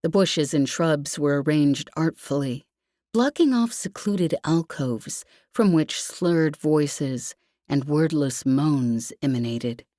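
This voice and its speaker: Female audiobook narrator for fiction. Low register, slow delivery, very dry sound. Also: warm tone, no room sound.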